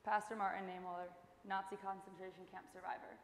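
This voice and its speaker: A woman's voice: medium pitch, level tone, fast talker. A young woman giving a scripted stage recitation as a student performer. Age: young adult. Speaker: student performer in a readers theater. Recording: echoey noisy hall, distant stage sound.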